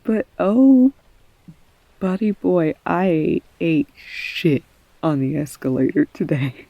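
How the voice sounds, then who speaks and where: A woman acting as affectionate girlfriend, slightly creaky voice. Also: deep voice, sing-song, slow, warm tone, no echo, dull audio, heavily acted. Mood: giddy, self-mocking. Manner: rambling, candid, self-mocking, casually sweary. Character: affectionate, self-deprecating girlfriend comforting her partner, goofy storyteller. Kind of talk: intimate girlfriend roleplay audio, naturalistic acting.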